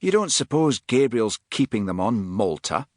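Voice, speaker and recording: A curt, exasperated man who makes his points emphatically, as a low-voiced narrator pushes his voice higher. His pitch moves in a lively way. The recording is dry and close. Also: normal speed, no echo.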